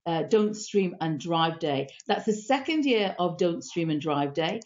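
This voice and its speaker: A woman's voice: fairly low pitch, expressive, measured pace, darkish tone, dull audio. British woman hosting a live stream. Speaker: host. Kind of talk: live stream talk show.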